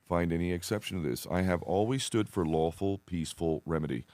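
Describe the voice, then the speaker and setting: An extremely deep-voiced man talks as a podcast guest, recorded dry with no room sound. He is earnest and deliberate in a conversational, storytelling way, often interrupting himself to rephrase. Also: a little mellow, dry recording.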